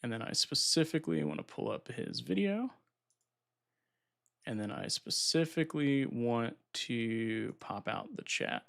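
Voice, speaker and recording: A man's voice: mid-range voice, a little slow, creaky. A man hosting a casual live stream, a bit nasal as he recovers from being sick, talking himself through setup at his computer. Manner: relaxed, thinking aloud while setting up software. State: recovering from illness, slightly congested.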